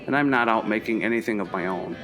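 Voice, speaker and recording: A man's voice: fairly low pitch, quick pace, over music, close to an everyday voice. A man acting in a radio play as an introspective middle-aged professor, speaking in a hesitant, reflective, conversational way. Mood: wistful, reflective. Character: introspective, kindly anthropology professor, a parent.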